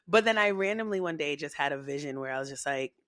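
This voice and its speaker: A young woman from the United States, a podcast guest, talking in a chatty, animated way and laughing often. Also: sits low, fast talker, dry recording, studio quality. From United States, Haitian family. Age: young adult. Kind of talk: casual podcast interview.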